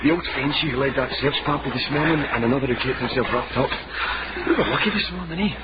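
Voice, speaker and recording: A man's voice: medium pitch, fast, very noisy, close to an everyday voice. A man playing a plain-spoken guide through underground tunnels in a radio drama, matter-of-fact in manner. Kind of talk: radio detective drama acting. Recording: muffled radio drama, loud cave ambience.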